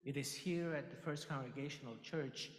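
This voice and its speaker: A man in his sixties, a Spanish native speaker from Ecuador with a Spanish accent, preaching an earnest, halting sermon at a podium microphone in a church sanctuary. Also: medium pitch. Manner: earnest, halting with many fillers. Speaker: lay preacher. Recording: echoey hall with long reverb.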